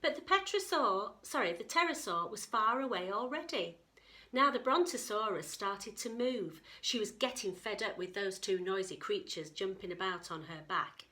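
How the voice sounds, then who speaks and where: A woman narrates a children's picture book with a lively, swooping delivery. Her voice is bright, and she breaks off to chat with and question her young listeners in a teacherly way. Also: medium pitch, normal speed. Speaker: teacher reading story time.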